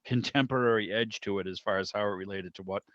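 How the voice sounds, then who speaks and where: Low-voiced man, slightly dull audio. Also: fairly even intonation, fast, a bit bright, no room sound. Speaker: club meeting host. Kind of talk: casual animated chat. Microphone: video call.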